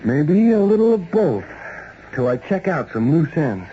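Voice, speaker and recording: An actor playing a steady, wry ex-cop investigator in a 1970s radio drama, with plain-spoken, probing delivery. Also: male voice, fairly high pitch, measured pace. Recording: dull, noisy old radio recording.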